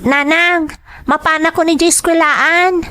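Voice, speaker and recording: A woman's voice: very high voice, steady tone, measured pace, sharp tone, full character voice. A woman playing a devoted, worried young student daughter in an Ilocano radio drama, earnest and concerned. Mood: caring.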